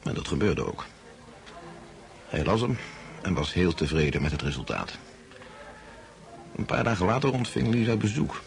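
A man's voice: low voice, big pitch swings, moderate pace, vocal fry, pauses often, full character voice. A man acting as a wry storyteller recounting a romance to friends in a radio drama. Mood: amused. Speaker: narrator. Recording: music behind radio play voice.

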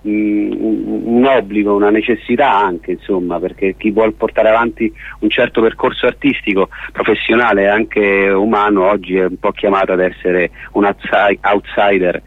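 Low-voiced man in a dry studio. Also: fairly even intonation, normal speed, hardly any pauses, slightly rough, no room sound, narrow, tinny audio. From Rome, Italy. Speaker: festival organiser, radio guest. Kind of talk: radio interview, explaining an event.

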